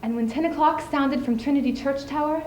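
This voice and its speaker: In an echoey room with some noise behind, a young woman acts out a spirited widow on stage with a high voice. The scheming woman speaks emphatically, leaving deliberate, theatrical pauses. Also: a little mellow, lightly acted. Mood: reflective, wistful. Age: young adult. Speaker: student speech team performer. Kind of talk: theatrical dramatic monologue on stage. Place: indoor school showcase stage. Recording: echoey room, clearly audible background noise.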